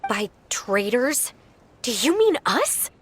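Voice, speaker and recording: A woman's voice: fairly high pitch, big pitch swings, takes it slowly, slightly rough, heavily acted. An actress playing a defensive young girl in a video game, protesting and throwing accusations back. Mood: indignant denial.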